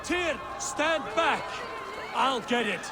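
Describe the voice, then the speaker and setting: An actor playing a defiant, battle-hungry Norse warrior god in a video game, declaiming forcefully. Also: male voice, very high voice, lively intonation, slow pace, a crowd in the background, exaggerated voice. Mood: urgent command. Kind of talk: cinematic video game acting. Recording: very loud battle music underneath.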